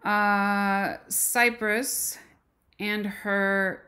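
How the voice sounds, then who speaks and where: Woman doing a slow art history slideshow lecture, lots of ums, middle pitch. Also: little pitch movement, pushed and bright. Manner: slow, with frequent ums and pauses.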